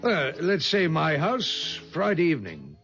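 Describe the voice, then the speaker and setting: An actor playing a genial, sly older colonel in a 1970s radio drama, hearty, fussy and coaxing. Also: male voice, a little high, huge pitch swings, unhurried, heavily acted. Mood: jovial. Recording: muffled 1970s radio broadcast with music.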